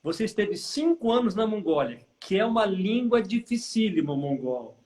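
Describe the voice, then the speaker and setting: High-voiced chatty host. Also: male voice, sing-song, moderate pace, darkish tone. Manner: chatty, prompting, tag questions like "tá?". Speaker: interview host, former teacher. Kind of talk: live-stream interview questions.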